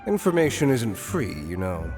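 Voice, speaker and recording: Actor as a worldly male informant, guarded and wry, medium pitch, anime game, music underneath. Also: big pitch swings, moderate pace, natural voice. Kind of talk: anime video game voice acting.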